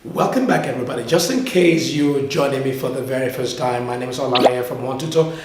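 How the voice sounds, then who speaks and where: Very echoey room, male teacher, medium pitch, English lesson delivery, didactic, repeats key words for learners. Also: fast talker, noisy background. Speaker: English teacher and video host. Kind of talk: language lesson to camera.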